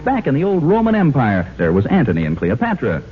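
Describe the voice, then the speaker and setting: A man's voice: mid-range voice, huge pitch swings, fast talker. A man narrating a scripted 1940s radio comedy in a mock-solemn storytelling manner. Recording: tinny old radio recording with music. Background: music.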